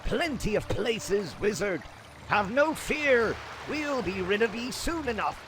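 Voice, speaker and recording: A man's voice: high-pitched, big pitch swings, slow pace, background noise, exaggerated voice. A man voicing a taunting griffin rider with rustic, old-fashioned speech in a fantasy game cutscene. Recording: voice under clear music.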